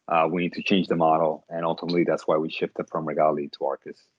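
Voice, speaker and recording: Very deep-voiced man, halting answers. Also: little pitch movement, no echo, lo-fi sound. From Dominican Republic. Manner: thoughtful, many fillers and restarts. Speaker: startup CEO, guest. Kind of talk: interview answers.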